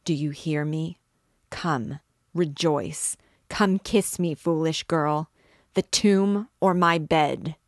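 A possessive, menacing old priest, as a woman reader voices him in a storybook, his threats pressed out low and unhurried. Each command lands hard and forceful, with no room sound around it. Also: no room sound, clean studio sound. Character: obsessed, menacing older male priest.

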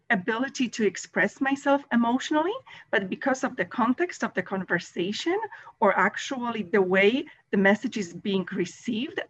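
A woman's voice: on the high side, fairly bright tone, breathy edge. Polish-accented woman on a muffled call. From Poland. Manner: thoughtful, hesitant with fillers. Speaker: caller. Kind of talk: casual chat. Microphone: video call. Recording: dull lo-fi call audio.

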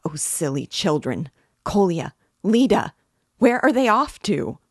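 A woman's voice: sits low, steady tone, slow, no echo, studio quality. A woman voicing a frantic, ailing widowed mother in an audiobook, crying out and wailing in desperation. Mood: screaming alarm. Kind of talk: dramatic audiobook character reading.

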